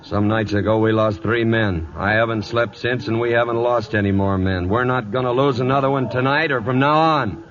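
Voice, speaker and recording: An actor playing a stubborn, domineering trail boss in a 1940s radio western, terse and menacing. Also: male voice, mid-range voice, fairly even intonation, moderate pace, hardly any pauses, close to an everyday voice. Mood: grimly determined. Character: hard, stubborn, domineering cattle drive boss. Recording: dull old radio broadcast with audience.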